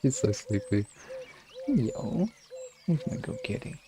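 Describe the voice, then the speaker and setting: A young man chatting casually on a livestream in a rambling way, sometimes slipping into soft baby talk. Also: high voice, huge pitch swings, takes it slowly, a crowd in the background. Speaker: live streamer.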